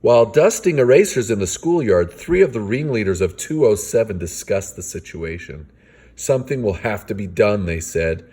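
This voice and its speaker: A man's voice: lowish voice, expressive, normal speed, mellow, husky. A man reading a picture book aloud as a father to kids, with playful, theatrical delivery and casual asides.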